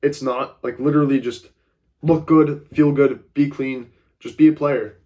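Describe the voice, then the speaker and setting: A young man with a stuffy, congested voice talks straight to his camera in a casual vlog. His pitch sits in an ordinary middle range. He rambles through his advice with lots of "like" and "uh" and stays blunt, now and then throwing in a curse. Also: steady tone, measured pace, dark tone. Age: young adult. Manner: rambling, frequent fillers like "like" and "uh"; blunt, occasionally swears. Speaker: self-improvement vlogger.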